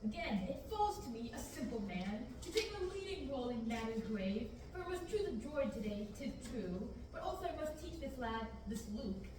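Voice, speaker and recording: A young woman reads old-fashioned dramatic verse aloud in a fairly high voice. She is far from the microphone in a very echoey room with clear noise. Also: big pitch swings, moderate pace, few pauses. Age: young adult. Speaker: amateur reader at a student table reading. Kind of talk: mock-Shakespearean script read aloud. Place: large indoor rehearsal room, far camera. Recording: distant camera in echoey noisy room.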